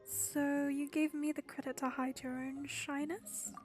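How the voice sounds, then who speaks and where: A woman's voice: high voice, level tone, measured pace, darkish tone, faint music behind. A woman giving casual gameplay commentary and reading out dialogue. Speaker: game streamer.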